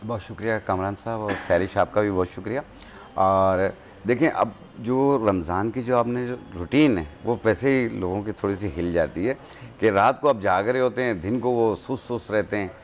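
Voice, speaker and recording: Calm, instructive man with a lowish voice. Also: normal speed. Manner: calm, instructive, gives home remedies step by step. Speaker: herbalist guest. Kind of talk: studio talk show advice.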